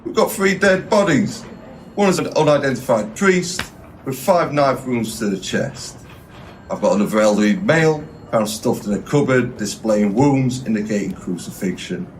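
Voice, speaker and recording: An actor playing a blunt, cynical police detective in an audio drama, with a formal, sardonic way of talking. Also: male voice, medium pitch, expressive, moderate pace, slight background noise, close to an everyday voice. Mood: officious, dry.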